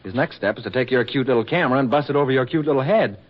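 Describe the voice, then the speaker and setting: An actor playing a sardonic newspaper reporter in a 1940s radio drama, with dry, slangy wisecracks. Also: male voice, medium pitch, rapid-fire, close to an everyday voice. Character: sardonic, fast-talking male newspaper reporter. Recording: muffled vintage radio recording, faint music.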